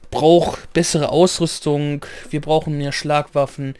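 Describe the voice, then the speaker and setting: A man with a slightly hoarse voice giving rambling, chatty commentary while playing a game, clearing his throat now and then. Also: a little high, steady tone, unhurried, darkish tone, no room sound. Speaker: let's play gamer. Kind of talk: casual gameplay commentary.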